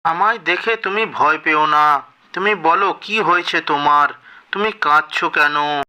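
A man's voice: fairly high pitch, unhurried, sharp tone, smooth. A man voicing a kindly female water fairy in a cartoon fairy tale, reassuring and instructive.

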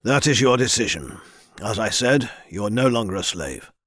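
A man voicing a stern, powerful lord who speaks in a measured, formal way. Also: fairly low pitch, big pitch swings, a bit bright, breathy edge, no echo, clean studio sound, heavily acted.

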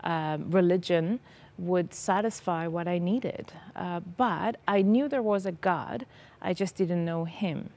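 A woman's voice: lowish voice, slow pace, a little mellow. Earnest woman, hesitant speech. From Israel. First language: Hebrew. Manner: earnest, hesitant, many ums. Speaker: guest. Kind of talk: personal testimony in a TV interview. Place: TV studio set. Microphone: lapel.

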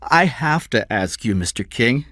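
A man's voice: medium pitch, animated, a little slow, a bit bright, no room sound, studio quality, voice pushed up. A man voicing a humble, defeated employee and father who pleads desperately in an audiobook. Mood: desperate pleading. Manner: pleading, begging. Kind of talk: audiobook character acting.

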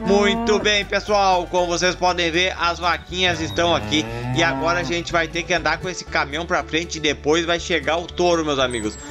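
A man narrating his toy farm play for viewers in a chatty, friendly way, with drawn-out herding calls to the cattle. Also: fairly high pitch, fairly even intonation. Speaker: toy farm channel host. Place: outdoors in a dirt yard with toy animals. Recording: background music under the voice.

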